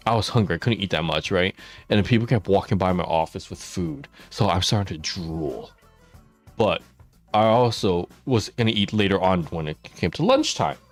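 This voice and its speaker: A chatty man streams a game and tells stories from his week, with a lowish voice that swings up and down a lot. He laughs often and answers viewers as they write in. Soft game music plays under him. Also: animated, moderate pace. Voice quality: naturally loud voice held down for the mic. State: mouth watering after dental work. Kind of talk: casual live-stream storytelling while gaming.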